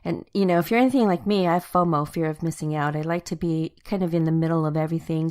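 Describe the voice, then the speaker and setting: Woman host with a deep voice. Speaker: podcast host. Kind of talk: casual chat, interviewing.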